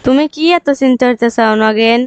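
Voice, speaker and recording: A woman's voice: a little high, steady tone, a little fast, clear, resonant tone, soft background music, close to an everyday voice. A woman voicing a scornful young interviewer and bitter ex-girlfriend in a cartoon, scolding and sarcastic, with a rural Bangladeshi accent. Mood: bitter accusing. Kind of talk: cartoon comedy dialogue.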